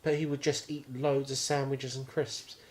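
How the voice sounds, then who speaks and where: A man's voice: mid-range voice, little pitch movement, normal speed, a crowd in the background. A man in his twenties co-hosting a podcast, chatting casually, rambling and swearing offhandedly. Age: 20s. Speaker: podcast co-host. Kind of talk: casual chat.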